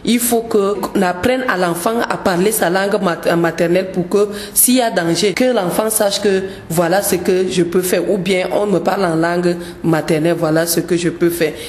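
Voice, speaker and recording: A woman's voice: fairly low pitch, moderate pace, few pauses, a little mellow, echoey room. A woman, a gospel singer speaking earnestly as a radio guest, often repeating words as she searches for them. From Cameroon. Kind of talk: radio interview.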